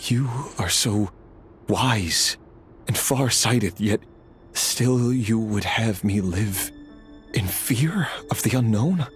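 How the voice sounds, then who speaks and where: An actor playing a sardonic, uneasy dynasty enforcer in a video game, wry and insinuating, justifying himself theatrically. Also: male voice, lowish voice, lively intonation, slow. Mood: resentful. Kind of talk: dramatic video game voice acting. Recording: background music under the voice.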